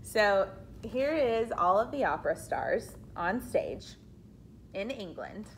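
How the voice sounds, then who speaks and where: A woman reading a picture book aloud like a teacher, deliberate and expressive, pausing for explanatory asides to children. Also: medium pitch, slight background noise.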